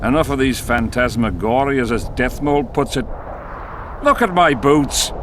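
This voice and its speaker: A man's voice: mid-range voice, a little slow, natural voice. An actor playing a coarse, haughty king in a fantasy game, grumbling and theatrical in his delivery. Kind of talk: fantasy game dialogue acting. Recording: clear outdoor noise behind.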